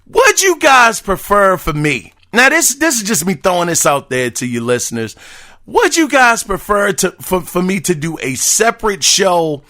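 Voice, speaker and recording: A man hosting a casual gaming news podcast, talking loosely to his listeners with a hoarse, raspy voice. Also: sits high, big pitch swings, normal speed, bright, forceful tone. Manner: loose, chatty, addresses listeners as brothers and y'all. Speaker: podcast host.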